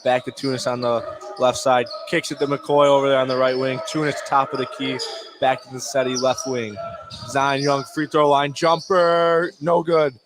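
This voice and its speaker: A man's voice: mid-range voice, level tone, moderate pace. A man doing live radio play-by-play of a basketball game in clipped short phrases, exclaiming on big plays. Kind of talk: live radio sports commentary. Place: indoor gym during a live game. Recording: noisy basketball gym ambience. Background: bouncing ball and gym noise.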